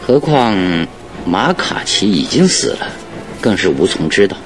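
A man in a Chinese radio drama playing a calm, self-assured detective who speaks in a deliberate, instructive way. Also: on the low side, music in the background. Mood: reflective.

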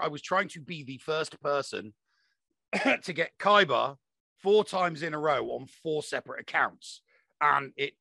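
A man's voice: mid-range voice, vocal fry, bright, forceful tone, dry recording. A man hosting a podcast in a casual, chatty style. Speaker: podcast host.

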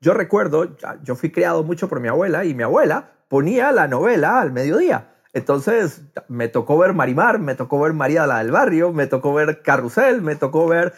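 A man's voice: a little high, sing-song, moderate pace, few pauses, husky. A man with a Latin American accent chatting casually and expressively on a podcast, often repeating words as he thinks aloud. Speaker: podcast host.

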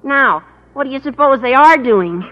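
A man's voice: very high voice, expressive, normal speed, sharp tone, heavily acted. A man playing an eager, gushing, earnest American teenage schoolboy in old radio comedy. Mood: excited, scheming. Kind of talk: 1940s-50s radio comedy acting. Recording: muffled, hissy, reverberant old radio recording.

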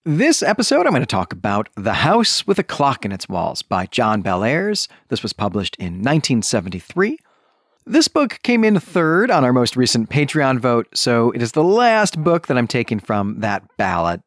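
A man's voice: medium pitch, wildly swooping pitch, moderate pace, no echo, studio quality. A man hosting a solo book club podcast, talking conversationally with self-correcting asides. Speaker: podcast host.

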